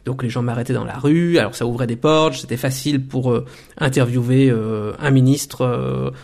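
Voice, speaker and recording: A man in his forties, a documentary maker and former journalist, telling his story in a radio interview with thoughtful pauses and restarts. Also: mid-range voice, fairly even intonation, creaky, darkish tone. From Belgium. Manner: thoughtful, many hesitations and restarts.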